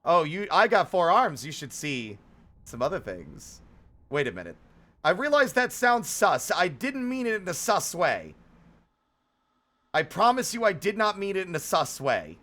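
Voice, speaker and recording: A man with a slightly high voice hosts a casual livestream. His pitch swings widely as he rambles playfully, sliding from theatrical exclamations into offhand chat with his viewers. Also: very animated, measured pace, bright, forceful tone. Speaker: live streamer, host.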